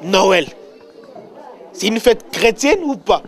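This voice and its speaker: Guy host, pretty high voice, stumbles and repeats, bit of crowd in back. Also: expressive, slow. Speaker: religious show host. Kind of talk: hosting an interview with greetings.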